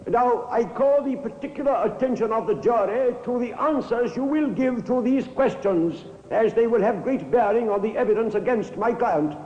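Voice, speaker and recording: An actor playing a formal, probing defending counsel who speaks deliberately and rhetorically in old-time radio courtroom style. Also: male voice, very high voice, lively intonation, dark tone. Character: eloquent, probing male defending counsel pleading to a jury. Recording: dull, echoey old radio recording, long reverb.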